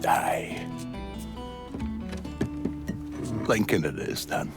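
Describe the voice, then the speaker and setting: An actor playing a bitter, foul-mouthed middle-aged Irish gangster in a video game, with a brooding delivery and an Irish accent. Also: male voice, close to an everyday voice. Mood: reflective, weary. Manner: slow, brooding, drawn-out delivery. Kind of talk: cinematic video game acting. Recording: music behind the voice.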